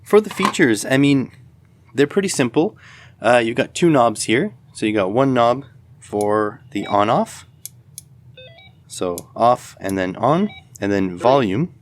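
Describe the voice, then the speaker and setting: A man's voice: medium pitch, expressive, unhurried, a little hiss or hum. A man giving a casual, unscripted product demonstration in a rambling, matter-of-fact way. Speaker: video host, electronics product reviewer.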